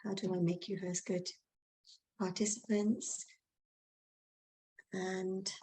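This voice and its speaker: A woman's voice: mid-range voice, level tone, takes it slowly, warm tone, low-quality recording. A woman organising an online event, sounding distracted and hesitant while sorting out the call settings. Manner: distracted, hesitant, many fillers. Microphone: video call.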